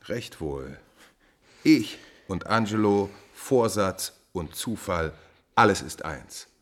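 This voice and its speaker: An actor playing a calculating, coolly insolent court adviser in a classical German radio drama, with a measured, formal, insinuating delivery. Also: male voice, deep voice, expressive, full character voice. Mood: cool self-justification.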